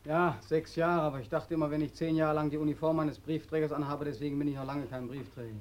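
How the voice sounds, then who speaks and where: An actor playing a desperate, bitter young soldier back from the war in a German radio drama, anguished and intense. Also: male voice, on the high side, fairly even intonation, fast, muffled recording, lightly acted. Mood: bitter, sardonic.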